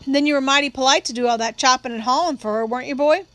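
A woman's voice: high voice, quick pace, a bit bright, dry recording. A woman voicing a contemptuous male prosecutor in an audiobook, with condescending, insinuating questions. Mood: needling, scornful.

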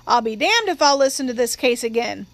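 A woman voicing an irascible older male judge in an audiobook, gruff and peremptory. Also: on the high side, expressive, brisk, no echo. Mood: roaring, indignant.

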